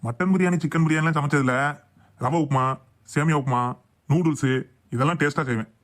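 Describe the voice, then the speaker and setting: A man's voice: fairly high pitch, brisk, natural voice. A man voicing a boastful, grumbling husband and father in a comic cartoon. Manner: blustering, complaining.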